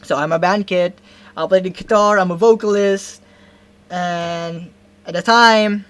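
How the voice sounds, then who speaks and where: A woman's voice: mid-range voice, no room sound. A young woman from Indonesia with an Indonesian accent, telling a story in a casual vlog, rambling, stopping to correct herself and often asking "right?". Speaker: vlogger.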